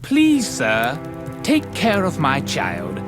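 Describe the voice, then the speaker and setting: An actor playing an obsequious, timid servant to a mayor in an animated game, formally announcing things. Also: male voice, fairly high pitch, takes it slowly, music in the background, heavily acted. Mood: deferential.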